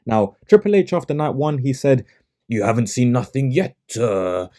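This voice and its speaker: A man's voice: medium pitch, big pitch swings, normal speed, warm tone. A young man, not a native English speaker, giving a blunt, sarcastic solo review of wrestling shows.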